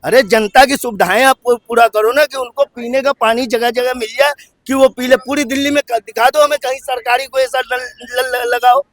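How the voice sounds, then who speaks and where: A man's voice: extremely high pitch, fairly even intonation, normal speed, fairly bright tone, dry recording. A man in a heated street argument, insistent and repetitive, firing rhetorical questions. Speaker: member of the public arguing in a street interview. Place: outdoors on a city street in Delhi.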